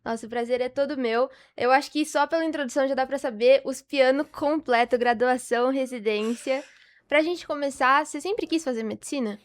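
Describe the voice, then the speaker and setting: A woman's voice: high voice, brisk. A young woman with a Brazilian accent, hosting a casual podcast interview in an enthusiastic, informal way. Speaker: podcast host.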